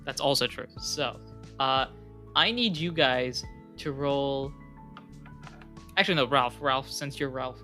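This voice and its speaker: A man's voice: mid-range voice, slow, long pauses, bright, forceful tone, faint music behind. A young man narrating a tabletop game as game master, in a casual style with many fillers.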